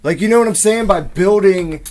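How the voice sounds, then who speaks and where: A man co-hosting a loose podcast chat. He sounds keen and casual and swears freely. His voice sits a bit high for a man. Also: normal speed, a little mellow. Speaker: podcast co-host.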